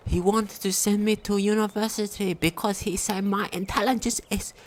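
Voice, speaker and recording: A woman's voice: mid-range voice, fairly even intonation, normal speed. A woman reading a diary aloud during a game playthrough, sometimes stumbling over longer words. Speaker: game commentator.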